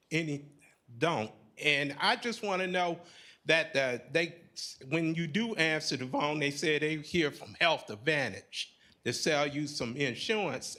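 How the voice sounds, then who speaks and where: A man speaking from the floor at a forum, halting and searching for words with many fillers. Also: a little high, pushed and bright. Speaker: audience member at a public forum. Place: conference hall.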